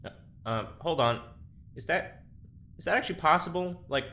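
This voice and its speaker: A man's voice: a little high, lively intonation, normal speed, sharp tone. A man playing a sarcastic, irritable prisoner and test subject in an audio drama, snarky and informal. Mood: wry, uneasy. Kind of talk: scripted audio drama acting.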